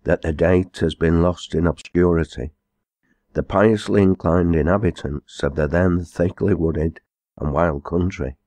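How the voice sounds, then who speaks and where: A man narrates a folk tale from a book in a low-pitched voice. His delivery is measured and formal. The recording is dry, with very little room sound. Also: steady tone, a little mellow, no room sound. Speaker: audiobook reader. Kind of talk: scripted storybook narration.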